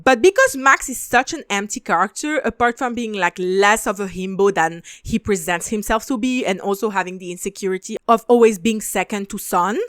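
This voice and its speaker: A young woman giving casual, opinionated commentary on a show, animated with lots of "like" fillers and emphatic repeats. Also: mid-range voice, fairly quick, hardly any pauses, dry recording, studio quality. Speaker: video essay host reviewing a show.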